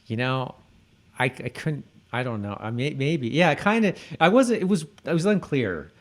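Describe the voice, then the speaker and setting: Halting man, podcast co-host. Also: medium pitch, sing-song. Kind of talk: casual conversational discussion.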